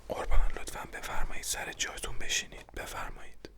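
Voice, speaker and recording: Whispering, puzzled, polite woman read by a narrator. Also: lightly acted. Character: puzzled, polite woman questioning a nervous man.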